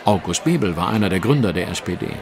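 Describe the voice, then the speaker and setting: An actor playing an astute, self-assured gentleman detective in an audio drama, precise and dryly teasing. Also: low voice, lively intonation, fast, gravelly, crowd noise. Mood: dry, knowing. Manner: precise, explanatory, dry. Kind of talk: German audio drama dialogue.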